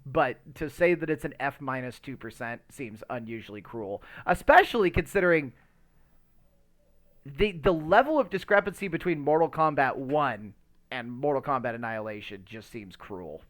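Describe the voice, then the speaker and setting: A male podcast host with a very lively, swinging intonation and a mid-range voice. He chats casually and gives his opinions with emphasis, often stumbling and circling as he hunts for the right words. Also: medium pitch. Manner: rambling, halting search for words. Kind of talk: casual chat about movies.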